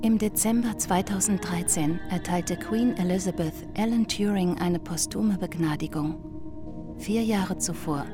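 A woman narrator reading scripted documentary passages for a radio drama in a factual, measured way. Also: lowish voice. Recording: music under the voice.

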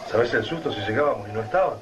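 An actor plays an earnest Argentine man surviving a catastrophe. He sounds anxious yet hopeful, with a mid-pitched colloquial voice in a radio play and clear noise behind him. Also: lively intonation, rapid-fire, muffled recording, natural voice. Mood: worried. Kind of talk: radio drama acting.

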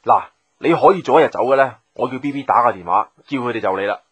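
A fussing, breezy office boss in a radio drama, speaking with a Hong Kong Cantonese accent. His pitch swings up and down in lively fashion as he rattles off requests. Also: fast, breathy edge, dry recording. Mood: harried, friendly. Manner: breezy, exasperated, sighing. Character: brisk, chatty, fussing male office boss.

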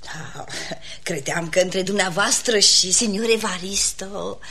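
An actress playing a chatty, insinuating village shop woman who flatters and gossips, in radio comedy style. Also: medium pitch. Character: gossipy, flattering Italian village haberdasher woman, falsely discreet. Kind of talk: classic radio theatre comedy acting.

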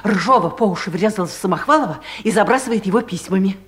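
A woman's voice: medium pitch, lively intonation, fast talker, lightly acted. A woman playing a brassy, chatty office busybody in a radio comedy drama, with a theatrical manner. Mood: gossiping eagerly. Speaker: actor in an audio play.

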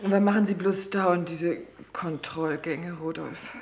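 An actress playing a meek, dreamy, sleepless spinster in a German radio play, answering hesitantly and compliantly. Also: female voice, on the low side, steady tone, unhurried, darkish tone, a little hiss or hum. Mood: anxious, sleepless.

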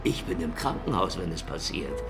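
Animated, argumentative ex-con. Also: male voice, sits low, moderate pace, gravelly, over music, full character voice. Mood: confident, insistent. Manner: emphatic, argumentative. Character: hot-headed, defensive male ex-convict pitching a heist. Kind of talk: crime radio drama acting.

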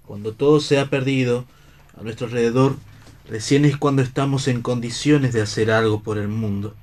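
An actor playing an earnest idealistic hero in a radio play, solemn and declamatory. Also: male voice, medium pitch, steady tone, moderate pace, a little mellow, lightly acted. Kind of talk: theatrical radio play reading.

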